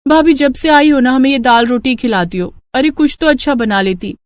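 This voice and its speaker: A woman's voice: high voice, normal speed, dry recording, studio quality, natural voice. Woman acting a perky young village sister-in-law. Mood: complaining.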